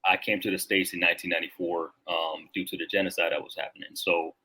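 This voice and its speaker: A man's voice: deep voice, level tone, fast talker, sharp tone, breathy edge, poor audio. A man in his thirties from Rwanda, a Marine veteran guest talking casually and reflectively on a podcast, with lots of filler words.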